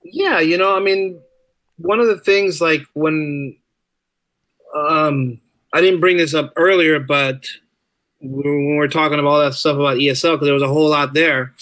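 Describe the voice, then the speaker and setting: A man with a slightly high voice talks as a guest in a casual interview. He is talkative and informal, circling back to repeat phrases for emphasis. The recording is dry, with very little room sound. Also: moderate pace, fairly bright tone, no room sound, low-quality recording. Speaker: podcast guest, writer and musician.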